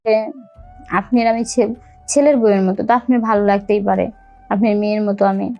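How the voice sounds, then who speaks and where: A young woman with a Bangladeshi accent telling a personal story in a halting, repetitive, colloquial way. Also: mid-range voice, fairly even intonation, dull audio. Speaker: storyteller recounting own life. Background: music.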